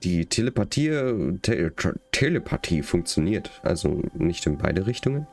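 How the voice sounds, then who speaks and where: Low-voiced man casually livestreaming a game. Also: very animated, moderate pace. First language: German. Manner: chatting to viewers, reading subtitles aloud. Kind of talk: casual live gaming commentary.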